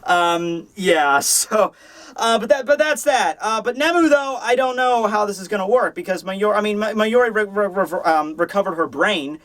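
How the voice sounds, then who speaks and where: A man hosting a manga review, talking in a casual, opinionated, rambling and animated way with casual swearing. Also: high-pitched, moderate pace. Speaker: host of a manga review show.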